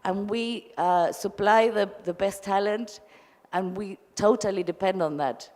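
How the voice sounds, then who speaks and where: In a reverberant hall, a woman from Argentina puts a question at a conference. She is articulate and discursive, with a mid-pitched voice. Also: measured pace, darkish tone. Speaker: audience member putting a question to a panel. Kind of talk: spontaneous question and comment at a conference. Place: large indoor conference hall. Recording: echoey hall, long reverb.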